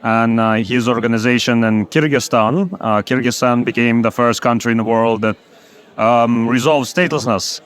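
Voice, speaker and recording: Hesitant man with a smooth voice. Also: mid-range voice, normal speed. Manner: hesitant, many uh fillers. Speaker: head of an advocacy coalition. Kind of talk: interview testimony.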